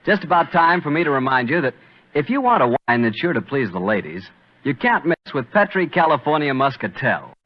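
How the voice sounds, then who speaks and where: A man's voice: a little high, big pitch swings, a little fast. A man announcing and reading a commercial in 1940s radio style. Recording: muffled old radio recording.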